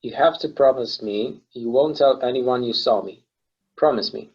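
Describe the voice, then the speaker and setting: A man plays an ancient, guarded male recluse in a stage play reading. His voice is rough and husky, his manner short, irritable and grudging. The sound is a little dull and lo-fi. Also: medium pitch, moderate pace. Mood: anxious, insistent. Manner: curt, defensive, grudging. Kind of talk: stage play table read.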